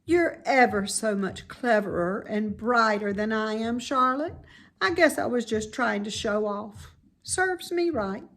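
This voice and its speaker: A woman's voice: a little high, measured pace, fairly bright tone, voice pushed up. A woman voicing a naive, sensitive young male pig in a children's storybook. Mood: sad, humble. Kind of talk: children's storybook read-aloud.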